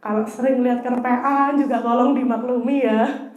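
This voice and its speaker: Young woman, mid-twenties, high voice, casual church speaker, playful and a bit nervous. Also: steady tone, normal speed, a little mellow, lo-fi sound. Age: 20s. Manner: chatty, self-deprecating, nervous. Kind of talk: casual youth sermon with audience interaction. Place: church hall, live audience.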